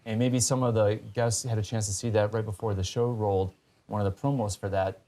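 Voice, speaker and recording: A man with a fairly low voice hosts a conversational talk show. He speaks with emphasis and clear enthusiasm, as if explaining things directly to his audience. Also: steady tone, a little fast, dark tone.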